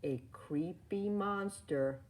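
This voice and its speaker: Slow, low-voiced woman reading a storybook. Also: a little mellow. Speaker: storybook reader. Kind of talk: read-aloud children's story narration.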